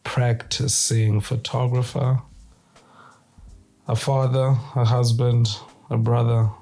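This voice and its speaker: A man from South Africa, a music producer, answering interview questions in a laid-back, unhurried way. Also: medium pitch, level tone, gravelly, warm tone. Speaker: guest, producer and rapper.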